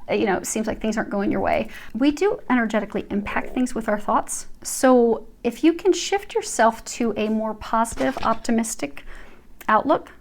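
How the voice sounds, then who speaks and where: Woman doing a tarot reading, kinda high voice, earnest and reassuring, talks right to you. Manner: earnest, reassuring, addresses viewers directly. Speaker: tarot reader.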